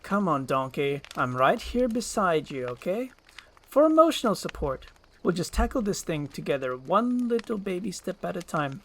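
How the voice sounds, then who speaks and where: A man voicing a gruff, impatient male ogre with a brusque, mocking manner, in a storybook screenplay reading. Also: high-pitched, sing-song, normal speed, a bit bright, pitched above the performer's own voice, exaggerated voice. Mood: coaxing. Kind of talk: storybook screenplay read with character voices.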